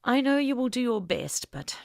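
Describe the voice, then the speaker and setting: A woman narrator voicing an earnest, wry older suffragist with formal, measured phrasing in an audiobook. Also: fairly high pitch, very animated, gravelly, a little mellow, voice pushed up. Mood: grateful, cautioning. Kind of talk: audiobook character dialogue.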